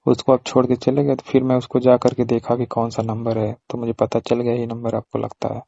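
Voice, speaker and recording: A man's voice: mid-range voice, completely flat delivery, a little fast, gravelly, warm tone. A man playing a flirtatious, coyly suggestive pizza delivery man in a casual phone call skit, speaking colloquially and hesitantly. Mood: teasing. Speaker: actor in staged prank-style phone call skit. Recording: muffled lo-fi phone call recording.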